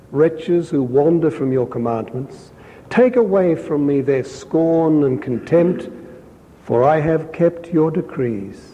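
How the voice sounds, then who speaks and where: A man reading scripture aloud in a measured, deliberate way, pausing to explain points to his listeners as a Bible teacher. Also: fairly high pitch, mellow, in a large hall, a little hiss or hum, rough recording. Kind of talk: slow scripture reading with brief commentary.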